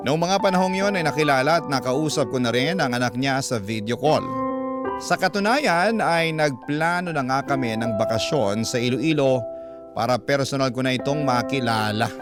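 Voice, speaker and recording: Filipino male storyteller over prominent guitar music. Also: medium pitch. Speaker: storyteller reading a listener's letter. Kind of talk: scripted first-person radio love story narration. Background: guitar music bed.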